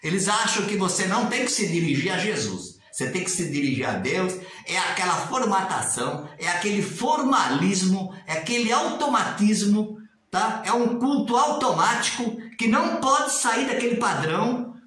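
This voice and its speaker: A man's voice: high-pitched, expressive, moderate pace, a bit bright, heavy reverb. A man in his sixties with a Brazilian Portuguese accent, speaking casually and animatedly to a webcam in a small tiled room, sometimes mimicking formal prayers in a raised sing-song voice. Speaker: religious commentator. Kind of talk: casual video commentary. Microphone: webcam at desk distance.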